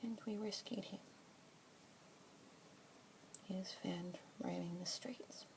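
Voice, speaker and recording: A young woman with an Australian accent whispering softly and breathily as a pet adoption specialist in an ASMR roleplay, with gentle murmured "mm-hmm" fillers. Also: mid-range voice, steady tone, slow pace. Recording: close whisper mic with clear background hiss.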